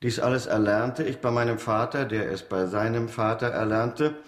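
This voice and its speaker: A man playing a proud, matter-of-fact executioner in a radio play, speaking in a measured, formal way that savours grisly detail. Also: medium pitch, steady tone, natural voice. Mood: smug pride. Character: proud hereditary executioner of old Paris, smugly matter-of-fact. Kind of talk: German radio play acting. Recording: echoey stone-room radio drama sound.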